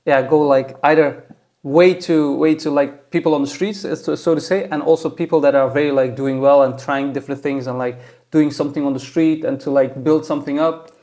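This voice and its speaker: A man's voice: on the high side, fairly even intonation, a little fast, warm tone. A man from Belgium hosting a casual interview, eager and rambling, filling his talk with "like" and "yeah". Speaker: podcast host.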